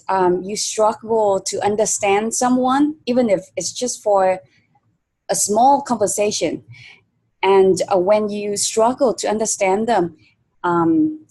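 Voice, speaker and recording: Slow-talking woman interviewer. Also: mid-range voice, darkish tone, rough recording. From Vietnam. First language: Vietnamese. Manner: hesitant, many fillers. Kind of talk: conversational interview.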